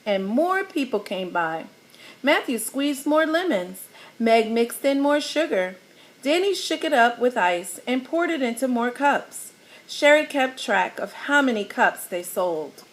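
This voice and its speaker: A woman reading a children's picture book aloud, animated and teacherly, pausing to explain the pictures. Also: high-pitched.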